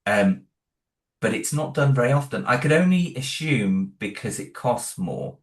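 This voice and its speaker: A man's voice: mid-range voice, animated, a little slow, roomy sound. A man, creator of a horror audio series, answering interview questions casually and hesitantly, with many restarts and fillers.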